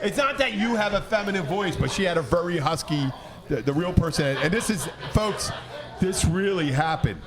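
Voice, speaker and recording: A man hosting a podcast, telling comic anecdotes casually in a rambling, halting way. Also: sits high, expressive, crowd noise. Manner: halting, rambling, with repeated starts. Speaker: podcast host.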